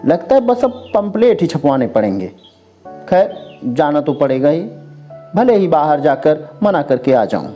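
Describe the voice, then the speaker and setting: A storyteller narrating a scripted first-person story in an expressive, dramatized way. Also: mid-range voice, unhurried. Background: background music.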